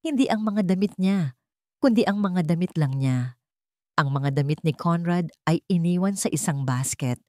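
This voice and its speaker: A woman's voice: fairly low pitch, animated, moderate pace, dark tone, no echo, clean studio sound. A woman narrator reading a scripted, dramatic love story.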